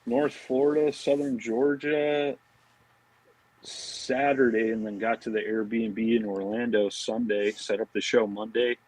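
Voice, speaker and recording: A man chatting casually as a podcast guest, talking in a loose, halting way. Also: mid-range voice, steady tone, darkish tone, no echo, poor audio. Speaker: podcast guest, fishing brand marketing director. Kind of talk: casual chat.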